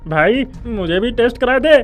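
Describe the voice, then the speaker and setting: A woman's voice: on the high side, lively intonation, moderate pace, soft background music, close to an everyday voice. A woman playing an eager, food-loving college friend in a moral story cartoon, exclaiming keenly. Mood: eager, delighted.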